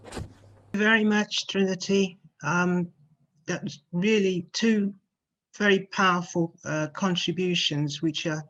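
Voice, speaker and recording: A woman chairing a panel, introducing speakers in an unscripted, hesitant way with frequent pauses. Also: on the low side, fairly even intonation, fairly bright tone. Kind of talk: unscripted event hosting. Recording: slightly dull lo-fi audio.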